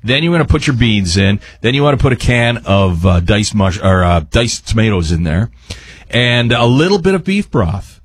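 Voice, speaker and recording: A man's voice: lowish voice, big pitch swings, normal speed, slightly rough. A man, a radio chef explaining a recipe in a folksy, informal, rambling way. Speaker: resident chef and radio host. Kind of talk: casual chat explaining a recipe.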